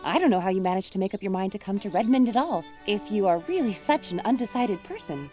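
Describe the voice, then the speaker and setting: A woman's voice: medium pitch, expressive, fast, mellow, faint music behind, natural voice. A woman voicing a sensible young woman student who asks dry, teasing questions.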